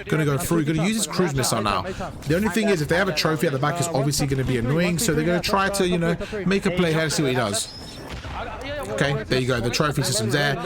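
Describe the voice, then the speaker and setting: A man giving casual, slangy gameplay breakdown commentary as a video host, with a slightly croaky, sore throat. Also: a little high. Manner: casual, slangy, repeats stock phrases. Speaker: gaming YouTuber, host.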